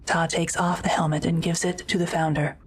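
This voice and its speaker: A woman's voice: lowish voice, little pitch movement, a little fast, slightly rough. A woman reading scripted, present-tense narration for an audio drama.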